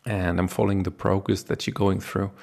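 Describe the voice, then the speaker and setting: Podcast interviewer, man, English. Lower register, gravelly fry, conversational questioning. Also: flat delivery, fairly quick, darkish tone. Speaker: podcast host. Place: online call.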